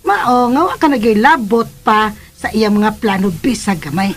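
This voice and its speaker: An actress playing a gossipy, sharp-tongued middle-aged mother in a radio drama, scolding and sardonic, with a Filipino accent. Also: on the high side, lively intonation, normal speed.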